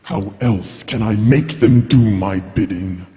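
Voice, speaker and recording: A cold, menacing dragon king, with a deep, very dark voice. The delivery is grand and scornful in a live radio play, ringing with long hall reverb. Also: takes it slowly. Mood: contemptuous. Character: cold, imperious dragon king, contemptuous of humans. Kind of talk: live audio drama acting. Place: stage at a convention hall.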